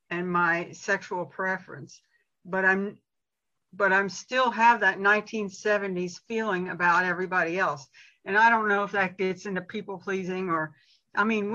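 A woman's voice: medium pitch, bright, forceful tone, dull audio. An older woman sharing hesitantly in a support group, searching for words and joking at her own expense. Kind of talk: personal share in a group meeting.

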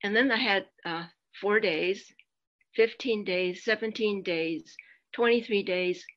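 A woman's voice: medium pitch, slow, a bit bright. An older woman candidly sharing her personal story at a support meeting, with self-deprecating humor, heard over a video call. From United States. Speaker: meeting speaker. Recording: muffled lo-fi video call audio.

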